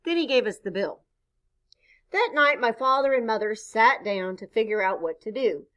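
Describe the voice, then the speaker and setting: A woman reading a children's story aloud expressively, pausing to chat with her listeners. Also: on the high side. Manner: expressive, pauses to point things out and ask questions. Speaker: storybook reader.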